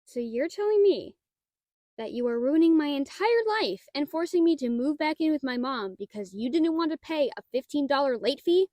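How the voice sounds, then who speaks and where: A woman playing a shy, nerdy young half-dragon librarian in an audio roleplay, flustered and halting, bookish and given to theatrical indignation. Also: high voice, animated, mellow, no echo. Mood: sarcastic, exasperated. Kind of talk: audio roleplay acting, addressed to the listener.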